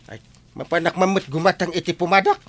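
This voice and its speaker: A man's voice: high voice, fast, fairly bright tone. A man playing a doubtful, teasing village friend in an Ilocano comedy radio drama, with an Ilocano accent.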